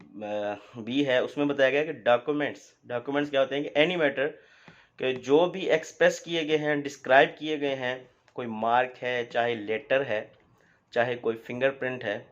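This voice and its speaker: A man's voice: mid-range voice, normal speed. Pakistani man lecturing, dull lo-fi recording. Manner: didactic, asks and answers own questions. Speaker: law lecturer. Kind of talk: explanatory lecture, mixing Urdu with English legal terms. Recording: dull, lo-fi sound.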